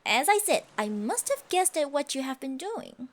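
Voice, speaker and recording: Casting: woman to play proud tsundere sea siren for roleplay audio; high, very animated voice, imperious and huffy. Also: moderate pace. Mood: flustered, defensive. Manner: haughty, scoffing, flustered stammers, archaic formal phrasing. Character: haughty tsundere siren, proud, secretly smitten sea creature. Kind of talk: ASMR roleplay monologue.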